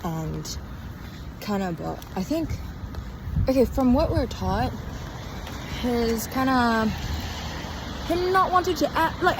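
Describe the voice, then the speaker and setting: A tired young woman podcaster talking casually in a halting, rambling diary style, often trailing off mid-thought. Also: fairly high pitch. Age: young adult. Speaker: podcast host. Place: outdoors on a walk in a town. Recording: outdoor street noise with passing cars. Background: traffic and urban ambience.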